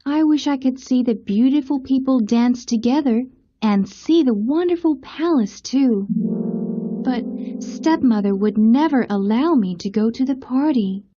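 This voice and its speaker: A woman's voice: sits high, unhurried, mellow, slightly rough, muffled recording, faint music behind. A woman playing a kind, sorrowful young girl in a storybook, speaking in an earnest, wistful way. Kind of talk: storybook character acting.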